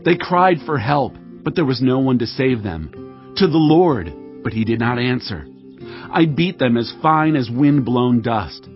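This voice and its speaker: A devout warrior king declaims a psalm of praise with fervor and solemnity. His pitch moves widely through the verses. The recording is slightly dull, with soft music underneath. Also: lively intonation, a little slow. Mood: triumphant. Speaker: Bible voice actor. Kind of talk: dramatized scripture reading. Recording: dull audio with music behind.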